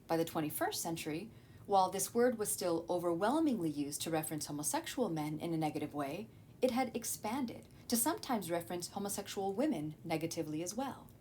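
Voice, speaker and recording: A woman's voice: animated, fairly quick, mellow. A woman narrating a scripted educational lesson as a teacher, in a didactic manner with rhetorical questions and conversational asides. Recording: clear background noise with low rumble.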